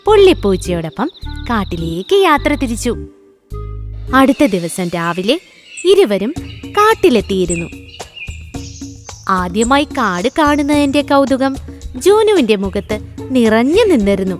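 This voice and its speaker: A woman's voice: on the high side, big pitch swings, unhurried, long pauses, soft background music. A woman reading scripted narration for a children's cartoon story.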